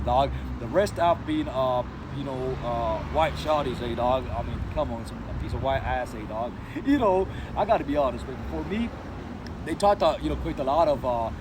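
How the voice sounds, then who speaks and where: A man from Sri Lanka talks off the cuff in a slightly raised voice. He rambles and restarts, packing his talk with slang and fillers. Cars roar past clearly behind him on a noisy street. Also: a little high. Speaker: vlogger. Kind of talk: casual unscripted monologue.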